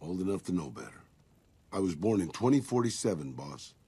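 Deep, raspy old Mexican ghoul gunslinger. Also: male voice, big pitch swings, a little fast, mellow, exaggerated voice. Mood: wistful, resigned. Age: older. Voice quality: gravelly, raspy. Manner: dry, sardonic, resigned. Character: world-weary old Mexican ghoul gunslinger, resigned and sardonic. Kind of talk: video game companion dialogue.

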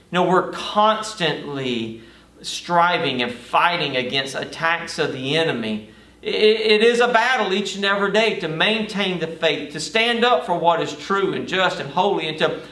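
Higher-voiced male pastor in an echoey room. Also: fairly bright tone, recorded from a distance. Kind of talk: Bible study sermon and prayer. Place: indoor studio or stage with lit backdrop. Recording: roomy, echoing, off-mic sound.

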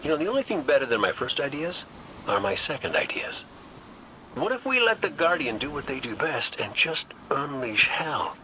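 Cocky folksy game gunslinger, jokey, swings all over, highish, noisy action behind. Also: male voice, big pitch swings, moderate pace. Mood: wry, restless. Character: wisecracking, restless male robot gunslinger, cocky veteran. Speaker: video game character. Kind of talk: scripted game dialogue.

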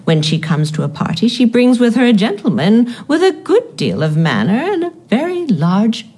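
An actress playing a haughty, disapproving upper-class aunt in a radio drama, with an imperious, precise manner. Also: female voice, on the high side, big pitch swings, normal speed, mellow, husky. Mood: disdainful.

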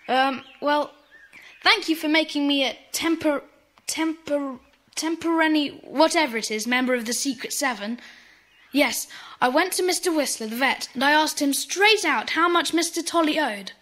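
An eager, polite British schoolboy speaks in a high voice. The delivery is earnest, in the manner of a children's audio play. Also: female voice, moderate pace, a bit bright. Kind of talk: children's audio drama acting.

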